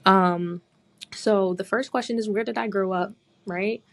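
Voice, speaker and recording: A woman's voice: mid-range voice, fairly even intonation, measured pace. A woman in her late twenties from the US East Coast, hosting a podcast from a hotel room in a casual, chatty way, laughing often and swearing freely. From Delaware, US East Coast. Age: 20s. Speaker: podcast host. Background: construction noise outside.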